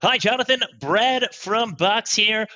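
A man's voice: high-pitched, slow, pushed and bright, dull audio, voice pushed up, exaggerated voice. A man putting on an upbeat, over-eager corporate trainer voice, delivering a podcast intro with exaggerated cheer. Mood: peppy, welcoming.

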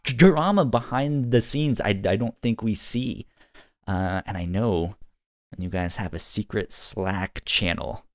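Low-voiced man in halting podcast chat. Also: no room sound, studio quality. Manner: halting, often repeating words. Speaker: podcast co-host. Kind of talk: casual podcast review chat.

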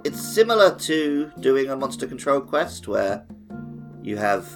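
A man's voice: mid-range voice, big pitch swings, measured pace, faint music behind. A man co-hosting a podcast in casual, conversational gaming chat. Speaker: podcast co-host.

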